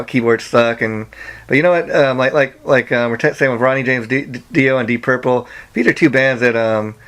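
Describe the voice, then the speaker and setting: A man's voice: mid-range voice, fairly bright tone. A man interviewing musicians casually over a phone call, rambling and often saying "you know" and "like".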